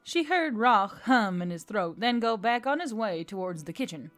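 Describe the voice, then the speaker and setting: A woman's voice: mid-range voice, lively intonation, moderate pace, fairly bright tone, soft background music. A woman reading scripted story narration as the narrator of a work of fiction.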